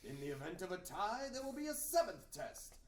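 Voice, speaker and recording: A man's voice: sits high, very animated, fairly quick. A man playing a pompous, grandiose master of ceremonies at a Renaissance fair, proclaiming in mock-archaic style at a comedy table read. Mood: grand proclaiming. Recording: roomy, distant mic, faint noise.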